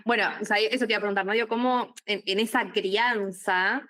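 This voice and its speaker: A woman hosts a podcast conversation. She asks her questions in an engaged, curious way and affirms her guest's answers. Her pitch sits in an ordinary middle range. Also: fairly even intonation, a little fast, sharp tone. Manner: engaged, affirming, laughs easily. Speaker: podcast host. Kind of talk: interview questions.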